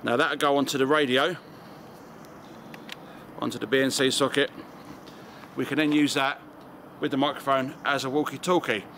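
A man's voice: medium pitch, little pitch movement, normal speed, long pauses, a bit bright. A man in his forties with an English accent, presenting casually to camera as an amateur radio vlogger outdoors. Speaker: amateur radio video host. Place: outdoors in a garden and open high spot. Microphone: camera or phone at about arm's length. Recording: outdoor recording with background ambient noise.

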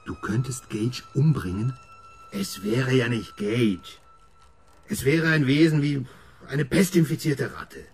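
A man's voice: mid-range voice, expressive, takes it slowly, darkish tone, slightly rough, heavily acted. An actor playing a brooding, obsessive middle-aged father and doctor, intense and introspective, in a radio horror drama. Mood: agitated, obsessive. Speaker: narrator and lead character. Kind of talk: radio horror drama, dialogue and first-person narration.

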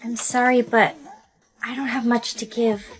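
A meek, kindly servant girl, acted by a woman with a high voice. She is timid and apologetic, as played in a scripted skit. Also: flat delivery, measured pace, slightly rough.

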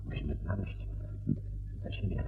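A man acting a shrewd, courteous and secretive private detective in a radio crime drama, with a measured, calmly authoritative manner. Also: sits low, vocal fry, narrow, tinny audio, very noisy. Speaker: actor.

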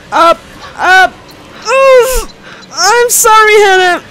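Slow-paced young woman reacting to a game. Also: very high-pitched, bright, forceful tone. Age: young adult. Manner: reactive, exclamatory, profanity-laced. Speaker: gaming video host. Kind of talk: casual gameplay reaction commentary. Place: indoors at a home recording setup. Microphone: close studio condenser mic. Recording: clear game ambience noise behind voice. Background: horror game ambience.

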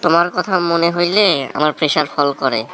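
A man's voice: a little high, fairly quick, pushed and bright. A man playing a cocky, theatrical young jilted village lover in a comic cartoon, with a Bangladeshi accent. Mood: melodramatic, lovelorn. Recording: noticeable background noise.

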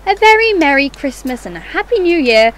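A woman's voice: high voice, sing-song, brisk, fairly bright tone, slight background noise, poor audio. A woman playing a tart, sarcastic and indignant wife in an audio play. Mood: grudging, sarcastic. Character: sharp-tongued, indignant wife, grudging but loyal.

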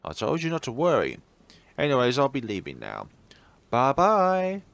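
Guy doing a goofy teasing anime schoolboy, sing-song, pitch all over the place, visual novel style. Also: wildly swooping pitch, normal speed, creaky, a bit bright, no echo, full character voice. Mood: breezy. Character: playful teasing teenage schoolboy, goofy and breezy.

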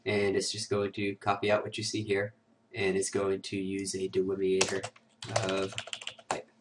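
A man with a raspy, cold-roughened voice, sniffling now and then, hosting a casual screen-recorded software tutorial full of "uh" and "um". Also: sits low, completely flat delivery, normal speed, far from the mic, background noise. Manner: casual, filler-heavy walkthrough with many "uh" and "um". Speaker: host of a security tutorial show.

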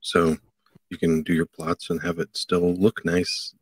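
A middle-aged man co-hosting a podcast, explaining a tech item in a halting way with many fillers, from a home office on a video call. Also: low voice, completely flat delivery, husky, no room sound. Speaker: podcast co-host. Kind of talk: casual tech chat.

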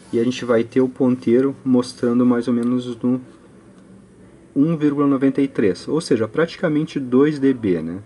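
Brazilian man, soft mellow tone, informal technical tutorial, hesitant step-by-step delivery, faint-to-moderate background noise. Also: mid-range voice. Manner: hesitant, step-by-step explaining with frequent check-in tags. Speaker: host of an audio electronics channel. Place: indoors at a work table.

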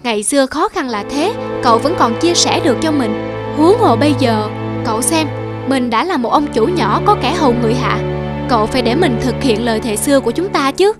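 An earnest young gentleman of a folk-tale cartoon, voiced by a woman, speaks in a high register with music behind. He is loyal and emotive, pressing his points with a pleading tone. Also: lively intonation, a little fast. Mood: insistent, friendly. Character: earnest, loyal young man, a prosperous householder.

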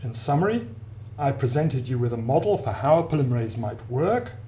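A man giving an explanatory science narration as an academic lecturer, in a measured, deliberate manner with pauses between phrases. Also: mid-range voice, big pitch swings, warm tone, dull audio. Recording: clear background hiss and hum.

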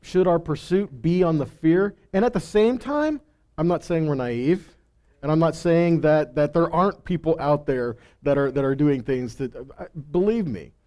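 Male preacher, middle-aged, warm dark timbre, emphatic teaching with rhetorical questions, headset mic. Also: on the high side, lively intonation, normal speed. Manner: emphatic, rhetorical questions, stresses key words. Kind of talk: sermon, conversational teaching. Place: stage in a congregation hall.

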